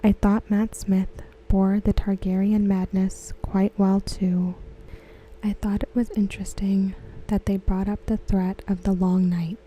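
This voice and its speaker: A woman reading aloud in a soft, breathy, near-whispered ASMR style, gentle and measured, close to the microphone. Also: mid-range voice, little pitch movement, a little hiss or hum, poor audio. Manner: gentle, hushed, measured delivery. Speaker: ASMR host. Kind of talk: softly spoken ASMR read-aloud of forum comments.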